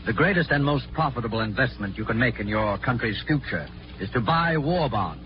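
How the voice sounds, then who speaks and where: A man's voice: medium pitch, expressive, a little fast. A man as a program announcer, speaking in a formal 1940s radio announcing style. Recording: muffled 1940s radio recording, music behind.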